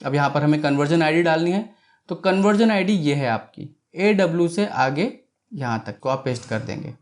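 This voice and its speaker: Man, fairly high voice, tutorial presenter, step-by-step explanation, mixes in English technical terms, frequent check-in tags. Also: expressive, moderate pace. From India.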